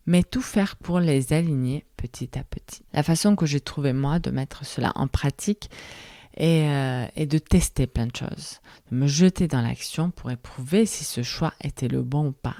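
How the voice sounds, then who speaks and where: A low-voiced woman in her thirties hosts a reflective podcast monologue, speaking in a language that is not her first. Her tone is mellow and soft-spoken, and she turns over one question after another. The recording is dry and close, with no room sound. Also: no echo. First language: not French. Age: 30s. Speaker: podcast host.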